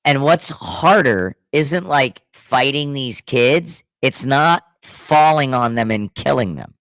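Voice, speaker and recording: A man's voice: medium pitch, expressive, takes it slowly, a bit bright, no echo. A middle-aged man who is sick, his throat scratchy, talks through a casual podcast in loose, rambling stretches. He often restarts his sentences and trails off. The sound is dry and close, with no room around it. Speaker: podcast co-host. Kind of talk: casual chat.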